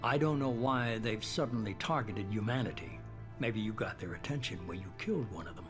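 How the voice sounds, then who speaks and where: An actor playing a calculating, self-assured leader of a secretive organization, speaking in a measured, persuasive way in a video game. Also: male voice, on the low side, lightly acted. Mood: grave, persuasive. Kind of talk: video game cutscene acting. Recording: music behind the voice.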